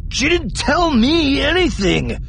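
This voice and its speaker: Whining, terrified small-time crook. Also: male voice, high voice, lively intonation, brisk, pushed and bright, a little hiss or hum, voice pushed up, full character voice. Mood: tearful, pleading. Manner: whining, stammering, begging. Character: cowardly small-time male crook, pleading in terror. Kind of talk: audiobook character acting.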